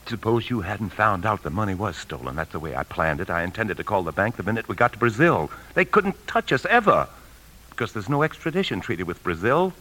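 An actor playing a tense, desperate embezzling husband in a 1950s radio drama, speaking with urgent, confessional intensity. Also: male voice, lowish voice, sing-song, brisk, sharp tone, full character voice, poor audio. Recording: old radio broadcast, faint music.

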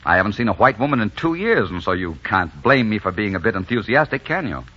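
An actor playing a rugged, bold adventurer smitten with love, in dramatic, romantic 1940s radio style. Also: male voice, lowish voice, very animated, fast talker, slightly rough. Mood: eager, smitten. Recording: dull 1940s radio recording with music.

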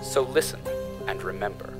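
An actor playing a solemn corporate propaganda announcer, reading a scripted radio introduction. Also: male voice, on the low side, expressive, normal speed, music in the background, natural voice, poor audio. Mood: solemn, reassuring.

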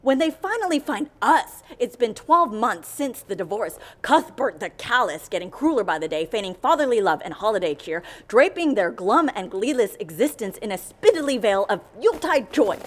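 A woman's voice: on the high side, very animated, moderate pace, hardly any pauses. A woman playing an exasperated, indignant agency worker in an audio comedy, speaking with emphatic, wordy, theatrical scorn. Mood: scornful, indignant. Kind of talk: audio comedy fiction acting.

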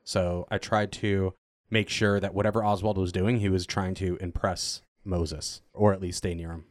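A man's voice: sits low, level tone, normal speed, no echo, clean studio sound. A man chatting casually as a cast member on a panel.

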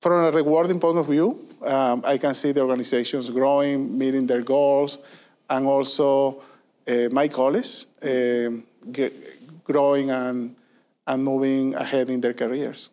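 A man's voice: medium pitch, flat delivery, unhurried, a little mellow. Guy in his 50s, explains stuff earnestly, says "right?" a lot. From Venezuela. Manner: earnest and explanatory, with many fillers and tag questions. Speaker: guest, industry consulting leader. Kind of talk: conversational interview answers.

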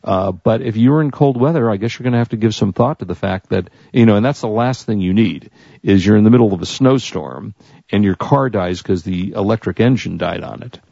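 Creaky, low-voiced American man hosting. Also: fairly quick, mellow, no echo. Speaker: talk show host. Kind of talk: casual tech and consumer news chat. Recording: dull lo-fi audio.

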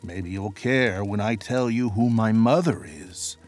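A man's voice: lowish voice, a little slow, darkish tone, no echo, soft background music, natural voice. A man playing a proud, defiant young demigod who boasts and stammers when caught out.

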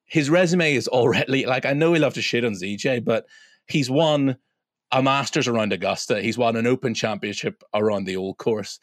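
A man's voice: mid-range voice, moderate pace, dry recording, studio quality. A man co-hosting a casual sports roundtable, talking emphatically and bluntly with some casual swearing. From Europe. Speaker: podcast co-host.

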